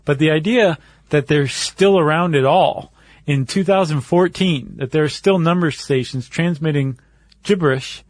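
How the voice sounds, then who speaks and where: A man's voice: on the high side, moderate pace, no echo. A man co-hosting a podcast, explaining things step by step in a casual conversational way. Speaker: podcast co-host.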